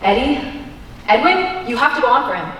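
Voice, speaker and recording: Stage manager played by young woman, brisk and commanding, stage play, very echoey distant recording with faint noise. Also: high-pitched, very animated, a bit bright. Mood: urgent. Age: young adult. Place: high school auditorium stage. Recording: reverberant hall from far off, faint noise.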